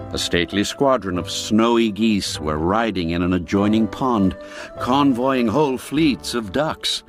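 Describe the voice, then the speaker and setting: Slow, lively-voiced male storyteller. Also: fairly low pitch. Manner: measured, expressive storytelling. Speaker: narrator. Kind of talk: scripted storybook narration.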